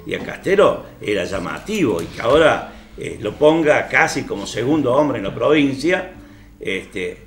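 Echoey older Argentine man, halting. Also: medium pitch, big pitch swings, a little hiss or hum. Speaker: panel host on a regional TV talk show. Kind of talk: unscripted political discussion. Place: small TV studio at a panel table. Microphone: table microphone. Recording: roomy echo, faint music behind.

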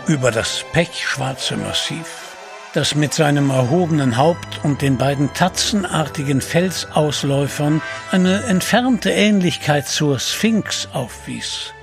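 A man narrates a dramatic adventure story. His slightly high voice moves slowly and solemnly, with faint music underneath. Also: vocal fry.

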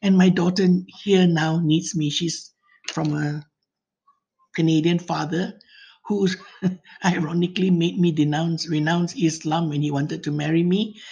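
A woman's voice: low voice, fairly even intonation, dark tone, breathy edge. Worried older woman on voicemail. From Malaysia. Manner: worried, pleading, rushed run-on telling. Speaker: voicemail caller. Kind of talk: phone voicemail. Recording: voicemail phone line.

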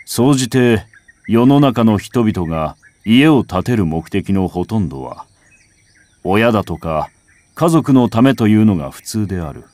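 A man reciting a literary first-person text as a scripted narration, in a measured, contemplative way with dramatic phrasing. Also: on the low side, big pitch swings, a little mellow, no echo. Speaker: audiobook reader. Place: home recording.

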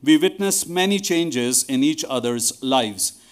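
A man's voice: mid-range voice, lively intonation, takes it slowly. A middle-aged man speaking to a congregation from a church lectern microphone. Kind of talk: addressing a congregation, reading a testimony aloud. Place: large church hall, indoors.

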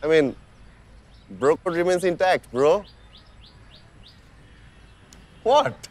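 A man's voice: fairly high pitch, big pitch swings, drawn-out words, a little mellow, exaggerated voice. A young man with a Bangladeshi accent acting a self-centred, cocky student leader in a short-film drama, defensive and slangy, dropping in English. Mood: smug. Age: young adult.

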